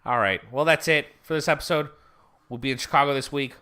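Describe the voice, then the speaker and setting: A man's voice: fairly high pitch, fast talker, pushed and bright, smooth. A young man co-hosting a casual podcast, rambling with restarts and frequent laughs. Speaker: podcast co-host.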